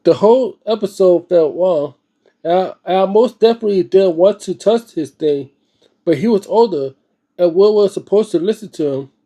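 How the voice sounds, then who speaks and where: A man's voice: high-pitched, moderate pace, dark tone. A man reading a book aloud in an informal way, stumbling over words here and there and adding casual remarks of his own. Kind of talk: read-aloud narration with casual, off-the-cuff reactions.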